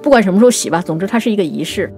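A woman with a lively, expressive delivery talks through classical literature as a programme co-host. She explains in a conversational way and slows into measured recitation for quoted lines. Soft music plays underneath. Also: mid-range voice. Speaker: writer and co-host of a poetry programme.

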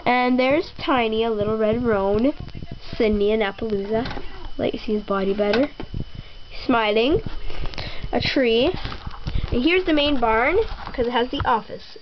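Young girl, slow and rambling, a bit high, dull home-camera sound, faint hiss. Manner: slow, rambling, with many ums and pauses. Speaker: toy barn tour guide. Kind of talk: casual home video narration. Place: bedroom at home. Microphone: handheld camera mic.

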